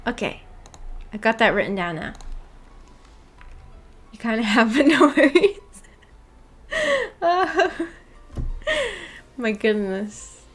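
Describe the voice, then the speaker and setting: A woman's voice: fairly high pitch, sing-song, slow, long pauses, faint music behind. A woman hosting a book club livestream, chatting casually and reading out viewer comments in a giggly, rambling, self-deprecating way. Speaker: livestream host of a visual novel book club.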